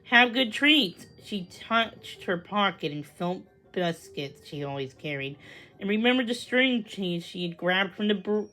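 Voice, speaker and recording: A woman's voice: on the low side, a little slow, fairly bright tone, faint music behind, rough recording. A woman narrating a children's story as a read-aloud. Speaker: storybook reader.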